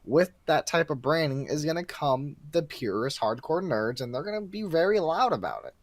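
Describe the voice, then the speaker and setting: A man's voice: fairly high pitch, very animated, normal speed, no room sound. A man talking as a podcast guest in casual, opinionated, emphatic chat. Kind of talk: casual chat about games and movies.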